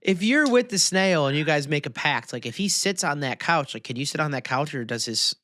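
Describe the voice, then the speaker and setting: A man's voice: a little high, animated, brisk, pushed and bright. A man co-hosting a podcast in casual, jokey banter full of bro slang. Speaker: podcast co-host.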